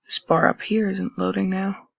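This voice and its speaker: A young man with a raspy voice, casually commenting and thinking aloud while browsing old web pages on a screen recording. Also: high-pitched, fairly even intonation, moderate pace, no room sound. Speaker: channel host. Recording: dull lo-fi dry recording.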